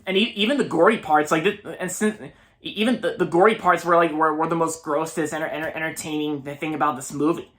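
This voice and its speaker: Halting young man reviewing a film. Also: on the high side, steady tone, a bit bright. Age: 20s. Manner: halting, many repeated words and restarts; enthusiastic, gushing. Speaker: movie reviewer. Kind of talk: casual movie review monologue.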